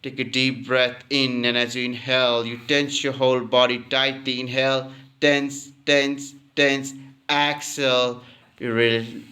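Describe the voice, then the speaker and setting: Very slow, smooth-voiced man guiding relaxation. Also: medium pitch. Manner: calm, instructional, repeating phrases. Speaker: yoga nidra teacher. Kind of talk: guided relaxation meditation.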